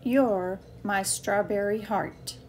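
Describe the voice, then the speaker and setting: A woman reads a picture book aloud at a slow, unhurried pace. Her pitch sits in an average range for a woman, and her phrasing is expressive and deliberate, suited to young listeners. Soft music plays faintly behind her. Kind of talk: read-aloud children's storytelling.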